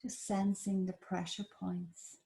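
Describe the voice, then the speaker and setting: A woman with an Irish accent leading a guided body scan meditation in a slow, soft, soothing manner. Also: medium pitch, flat delivery, creaky, low-quality recording. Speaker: meditation teacher.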